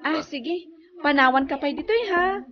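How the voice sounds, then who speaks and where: An actress playing a modest, gracious young daughter of a rich family in a radio drama, with an Ilocano Filipino accent. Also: female voice, high voice, normal speed, highs cut off, natural voice.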